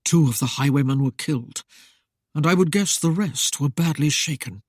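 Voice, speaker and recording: A man voicing a cold, aloof nobleman who speaks in a curt, measured way. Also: fairly high pitch, dry recording, clean studio sound, lightly acted. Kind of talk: audiobook character voice.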